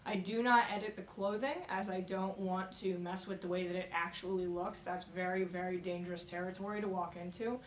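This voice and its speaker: A woman with a slightly raspy voice, recovering from being sick, giving frank, practical reselling tips at home in a casual vlog. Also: on the low side, fairly even intonation, fairly quick, hardly any pauses. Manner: frank, practical, conversational with fillers. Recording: echoey room with faint noise.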